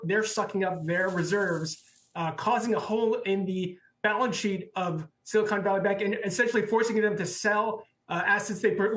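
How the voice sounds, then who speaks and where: A man's voice: high-pitched, a bit bright. Male banking reporter in a roomy space. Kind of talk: live unscripted news analysis. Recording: roomy, echoey sound.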